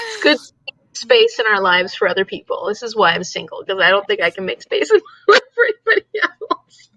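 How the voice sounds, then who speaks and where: A woman's voice: mid-range voice, big pitch swings, normal speed, pauses often, pushed and bright, no room sound, low-quality recording. A woman co-hosting an online reading livestream, chatting casually and repeating herself for emphasis. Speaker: co-host of online reading sprints.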